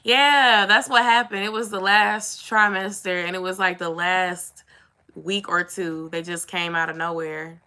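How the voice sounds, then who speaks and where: A young woman with a somewhat raspy voice, chatting casually to her viewers and saying "y'all" and "like" a lot. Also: mid-range voice, fairly even intonation, unhurried, sharp tone. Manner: chatty, says "y'all" and "like" often. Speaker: vlogger. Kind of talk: casual chat to camera.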